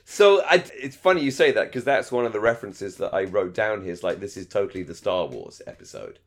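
A man's voice: fairly low pitch, sing-song, brisk. A middle-aged man co-hosting a casual fan discussion, talking emphatically but hesitantly and often interrupting himself. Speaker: podcast co-host. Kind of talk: casual chat, fan discussion of a radio drama.

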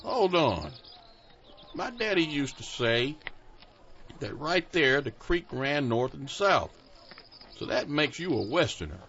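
A man's voice: on the high side, very animated, slow, pauses often, fairly bright tone, a little hiss or hum, exaggerated voice. A man voicing a blind caretaker who talks in a folksy, self-deprecating way. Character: blind male caretaker, humble and joking. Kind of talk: audio drama acting. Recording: muffled lo-fi recording.

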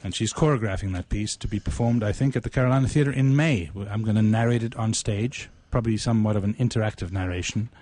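A man hosting a radio show, talking casually and somewhat haltingly, often repeating words as he goes. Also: fairly low pitch, dark tone, slight background noise. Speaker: radio show host and writer-performer. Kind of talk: casual on-air conversation.

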